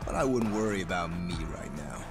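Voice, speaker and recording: An actor playing a cocky young male high school gang leader in an English game dub, mocking, swaggering and slangy. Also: big pitch swings, brisk. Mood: smug, taunting. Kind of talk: English video game dub cutscene acting. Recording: music under the voice.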